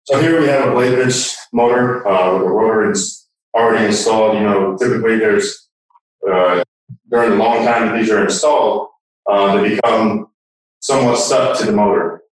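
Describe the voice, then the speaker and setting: A man's voice: on the low side, normal speed. A man giving a conversational, hands-on technical demonstration, recorded in an echoey room. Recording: echoey room, distant microphone.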